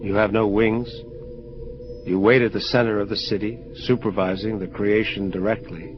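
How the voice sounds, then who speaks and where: Male actor as troubled angel of vengeance: low, solemn, formal, muffled lo-fi sound under loud music. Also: level tone, natural voice. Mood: awed, hesitant. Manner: solemn, formal, measured speech. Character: grave, troubled male angel of vengeance confronting his creator. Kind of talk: dramatic audio play dialogue. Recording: dull lo-fi audio under music. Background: prominent atmospheric music.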